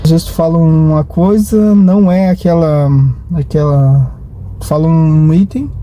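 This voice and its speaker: A man's voice: a little high, a little slow, warm tone. A man, vlogging casually in a rambling, hesitant way full of restarts and tag questions. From Brazil. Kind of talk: casual vlog talk. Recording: noisy, with traffic behind.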